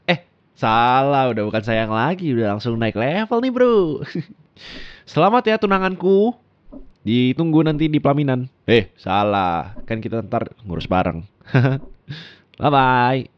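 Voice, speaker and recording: A man's voice: medium pitch, lively intonation, a little slow, no room sound, lo-fi sound, natural voice. A man playing a tender young boyfriend speaking in a taped message for an ASMR roleplay, heartfelt and teasing. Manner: soft, heartfelt, teasing with laughs.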